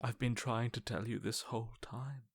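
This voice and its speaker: A man voicing a broken, servile inner voice in a video game, hushed and breathy, pleading with worshipful defeat. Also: medium pitch, fairly even intonation, normal speed, no room sound. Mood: meek reverence. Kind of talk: dramatic video game voice acting.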